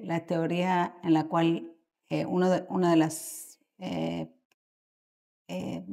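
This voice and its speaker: A middle-aged Argentine woman speaks in a low register with a gravelly fry. She talks as an expert guest in conversation, choosing her words thoughtfully and pausing partway through sentences. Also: warm tone. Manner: thoughtful, halting, with mid-sentence pauses. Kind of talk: conversational podcast interview answers.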